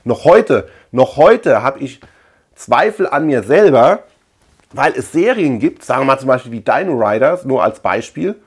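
A man's voice: mid-range voice, big pitch swings, normal speed, slightly rough. A man chatting casually as a video host, rambling colloquially and repeating words for emphasis. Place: small room at home lined with shelves.

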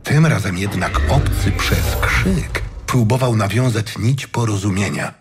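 A man's voice: on the low side, expressive, a little slow, noisy background. A man reading a fantasy audiobook as a dramatic storyteller. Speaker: audiobook narrator. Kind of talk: dramatic scripted narration of a fantasy tale. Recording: music behind the voice.

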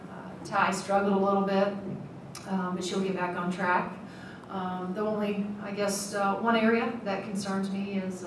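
Woman coach at a press table. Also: mid-range voice. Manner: measured, many ums and uhs, restarts. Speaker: head basketball coach. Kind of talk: postgame press conference. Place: press room, seated at a table. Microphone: table mic, at a distance. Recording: echoey room, mic at a distance, noisy.

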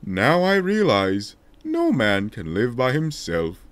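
A man's voice: mid-range voice, wildly swooping pitch, slow, no echo. A man voicing a solemn, remorseful king in a declamatory, dramatic way for a video game fan dub. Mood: solemn, reflective.

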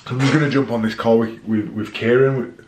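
A man's voice: mid-range voice, steady tone, fairly quick, a little mellow, a little hiss or hum. A man, vlogging casually to a camera at arm's length, slightly nasal from a head cold, rambling with fillers. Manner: chatty, rambling with fillers and restarts. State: stuffy nose from a head cold. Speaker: vlogger, dad. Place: outdoors on a country road, then a home office. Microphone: camera held at arm's length.

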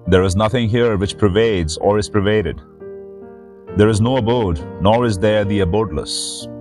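A man speaking in a solemn, measured way as a spiritual host reading sacred verse. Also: on the low side, darkish tone. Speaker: spiritual teacher and reader, host of the series. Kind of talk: slow devotional reading of sacred verse with short host remarks.

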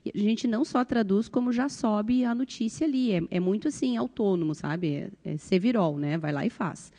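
A woman's voice: mid-range voice, moderate pace, warm tone. A middle-aged woman with a southern Brazilian accent talks informally on a panel into a handheld microphone, often checking in with short tag questions. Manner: conversational, frequent tag questions. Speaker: volunteer translator, panelist. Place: conference panel stage, indoors.

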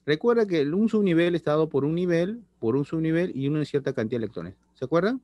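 A man's voice: fairly high pitch, animated, fast talker, a little mellow, no room sound. A middle-aged man teaching a chemistry class over a video call, repeating phrases and checking in with students. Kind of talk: online class lecture. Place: small room indoors. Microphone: laptop webcam on video call.

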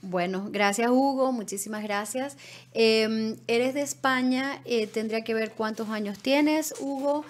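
A woman's voice: a little high, measured pace. A middle-aged woman speaking conversationally as an immigration consultant, explaining things directly to viewers by name. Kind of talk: conversational advice on a live show. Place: small indoor studio. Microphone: studio microphone on desk stand, close.

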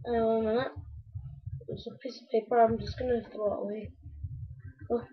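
Croaky husky woman, bit high, rambling thru a toy build for viewers. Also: flat delivery, normal speed. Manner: rambling, talks to viewers while working with hands. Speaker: video maker building a toy. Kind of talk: casual step-by-step build talk. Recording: dull lo-fi home recording.

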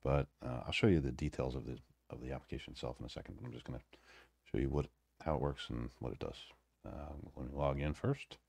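Very deep, creaky-voiced man. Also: darkish tone, no echo. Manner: halting, self-deprecating. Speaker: electronics hobbyist presenter. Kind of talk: casual unscripted project demo. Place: indoors at a home workbench.

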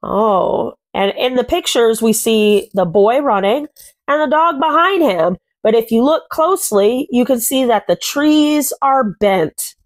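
A woman in her thirties reading a picture book aloud to children, commenting and putting questions to young listeners in simple, deliberate phrases. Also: a little high, expressive. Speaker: children's read-aloud host. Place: small furnished room at home.

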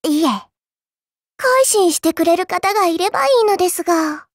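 A dainty little game-character girl speaks in an extremely high, cutesy voice. Her words are prim and polite, yet laced with teasing condescension and a cruel streak. The sound is close and dry. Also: animated, moderate pace, no room sound. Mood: polite, playful. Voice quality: childlike, cutesy. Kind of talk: Japanese mobile game character voice lines.

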